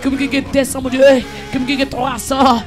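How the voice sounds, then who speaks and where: A man leading an impassioned prayer, pleading and repeating phrases, half singing and at times shouting. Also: extremely high pitch, flat delivery, a little fast, over music. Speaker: prayer leader. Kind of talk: fervent chanted prayer.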